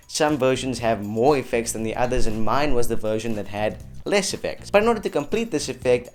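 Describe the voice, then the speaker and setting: A man narrating an upbeat, scripted tutorial as host, talking chattily and directly to his viewers. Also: mid-range voice. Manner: chatty, addresses viewers as guys. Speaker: host of an effects tutorial channel. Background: background music.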